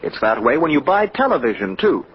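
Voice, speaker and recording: A man's voice: a little high, very animated, brisk, breathy edge. A man with an American accent reading a mid-century radio commercial in a polished, persuasive way, speaking directly to listeners. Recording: muffled old radio recording.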